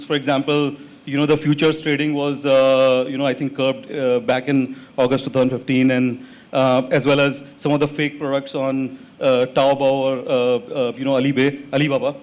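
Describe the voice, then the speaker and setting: Higher-voiced man, hesitant on a panel. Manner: hesitant, filler-heavy. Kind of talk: panel discussion.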